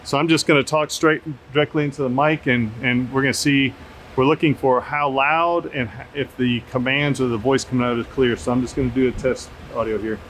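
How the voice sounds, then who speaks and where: A man's voice: mid-range voice, brisk. A man hosting a casual field test, talking off the cuff outdoors into a camera microphone. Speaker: drone tester and video host. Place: outdoors under an open shelter at an airfield. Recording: noisy outdoor camera audio, steady ambient hum.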